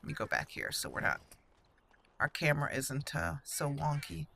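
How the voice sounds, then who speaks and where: A woman's voice: sits low, fairly even intonation, normal speed, vocal fry, a bit bright, a little hiss or hum. A woman giving casual commentary as she plays and roleplays through a fantasy video game. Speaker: gaming channel host, player. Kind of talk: casual let's play commentary with in-character roleplay.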